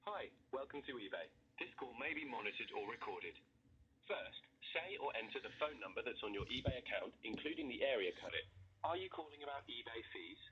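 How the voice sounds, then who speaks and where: Muffled phone audio, man, automated menu, forceful, very rough tone, scripted. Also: medium pitch, expressive, a little fast.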